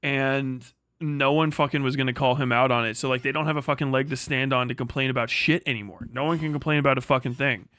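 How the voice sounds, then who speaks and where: Sweary, bright-voiced man ranting on livestream. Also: mid-range voice, steady tone, fast talker, hardly any pauses, no echo, studio quality. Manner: profane, slangy; rambling, stammering repeats. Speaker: live streamer, online commentator. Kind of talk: casual livestream rant to viewers.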